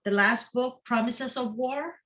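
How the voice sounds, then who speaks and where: A woman's voice: a little high, unhurried, fairly bright tone. A woman whose first language is not English, a guest author in a casual interview, often repeating and restarting her words.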